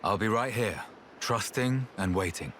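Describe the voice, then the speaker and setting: A man's voice: fairly low pitch, measured pace, fairly bright tone, a little hiss or hum. A man playing a steadfast, sceptical soldier ally in a video game cutscene. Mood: grave.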